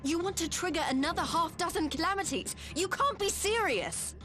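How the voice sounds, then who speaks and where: A woman's voice: very high voice, moderate pace, over music. A woman playing an alarmed, outspoken young heroine in a fantasy video game cutscene. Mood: incredulous, outraged.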